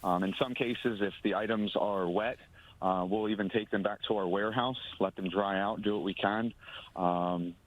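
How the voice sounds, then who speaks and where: A man, a moving company owner, speaking on a phone interview, halting with many ums and restarts. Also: fairly low pitch, fairly even intonation, a little hiss or hum. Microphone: phone line. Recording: phone line audio.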